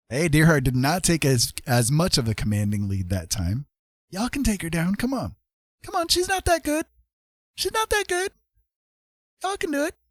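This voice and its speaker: A man's voice: on the high side, big pitch swings, normal speed, pauses often, dry recording. A man hosting a casual livestream, commenting on a game in an encouraging, jokey manner. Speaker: livestream host.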